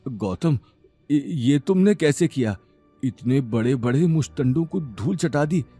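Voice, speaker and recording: A man's voice: mid-range voice, expressive, unhurried, mellow, breathy edge, dry recording, faint music behind, pitched above the performer's own voice. A man voicing an amazed, stammering middle-aged father in an audio drama. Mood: amazed, flustered.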